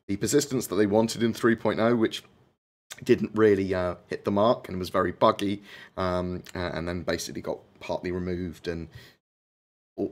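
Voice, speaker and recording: A man from the UK hosting a gaming stream, hoarse, chatting casually with lots of ums and uhs. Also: low-pitched. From United Kingdom. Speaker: gaming channel host. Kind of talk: casual livestream chat.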